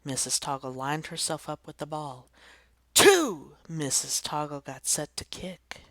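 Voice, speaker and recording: A woman reading a children's picture book aloud with clear, gently expressive narration. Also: low voice, moderate pace, dry recording. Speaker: storybook reader.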